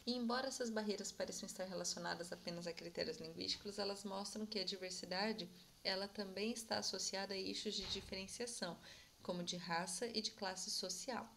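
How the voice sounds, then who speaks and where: Young woman presenting her research, steady mid-range voice, calm explainy tone, keeps asking "right?", faint hiss behind. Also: mid-range voice, gravelly. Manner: measured, explanatory, often asks "né?" to check in. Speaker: conference presenter. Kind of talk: academic conference presentation.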